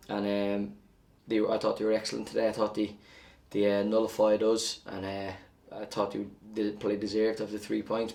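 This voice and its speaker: A man, a football coach giving a measured, plain-spoken post-match interview. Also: fairly low pitch, vocal fry, a little mellow, far from the mic.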